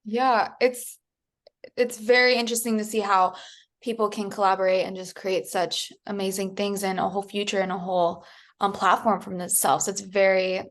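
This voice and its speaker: A woman's voice: mid-range voice, level tone, moderate pace. A young woman conducting a casual interview in a polite, enthusiastic, appreciative manner.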